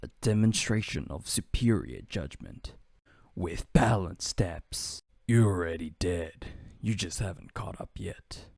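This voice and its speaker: A man performs game character lines in a theatrical, amateur voice-acting style. His pitch moves around a lot over a slow overall pace, with some gravel in the voice. The recording is dry. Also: lively intonation, a little mellow, dry recording.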